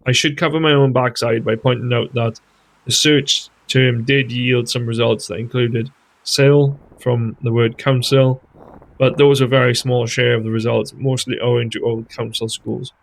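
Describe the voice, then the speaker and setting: A man reads a scripted voice-over. He explains carefully and stays tentative. His voice sits in the middle register and has a gravelly, creaky edge. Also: mid-range voice, little pitch movement, low-quality recording. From Wales. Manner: measured, explanatory, tentative about theories. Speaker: host of a history channel.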